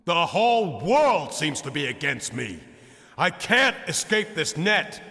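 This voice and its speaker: A defiant, anguished game character. His voice is bright and rather high, swinging dramatically as he declaims, with long hall reverb around it. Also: sing-song, a little slow, vocal fry, heavily acted. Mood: desperate defiance. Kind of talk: theatrical scripted game dialogue.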